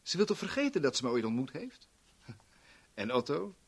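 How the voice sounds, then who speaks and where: Sadistic cocky crook, husky, wildly varied intonation, gloating, vintage radio drama. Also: male voice, on the high side, very animated, exaggerated voice. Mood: gloating, taunting. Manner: taunting, theatrical, drawn-out phrasing. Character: cocky, sadistic male villain, insolent and gloating. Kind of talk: 1970s Dutch radio drama acting.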